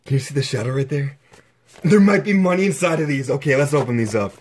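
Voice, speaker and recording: An excitable young man narrates his own exploration vlog, exclaiming over each new find. His voice is slightly husky and sits at an ordinary pitch, and it is caught close by a handheld camera. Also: quick pace. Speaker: exploration vlogger.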